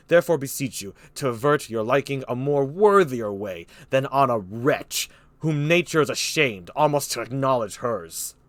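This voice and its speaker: A man's voice: mid-range voice, animated, no echo, lightly acted. Man playing a commanding, bitter old king. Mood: scornful. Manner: slow, emphatic declamation with pauses.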